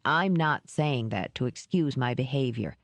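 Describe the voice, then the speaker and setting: A gruff, guarded male ex-cop, voiced by a woman narrator, pitched well below her own voice and speaking slowly with quiet weight. The recording is close and dry, with no room sound. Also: animated, dry recording, exaggerated voice. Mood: probing. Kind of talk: audiobook character reading.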